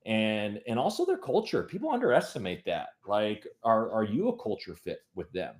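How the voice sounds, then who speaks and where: A man's voice: lowish voice, very animated, moderate pace, darkish tone. A man speaking as a panel guest, giving casual business advice in short, emphatic phrases.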